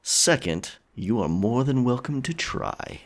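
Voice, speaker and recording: A man's voice: mid-range voice, big pitch swings. A man playing a mischievous, taunting pirate captor in an audio drama, smug and playful. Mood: smug, teasing. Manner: slow, teasing, smug.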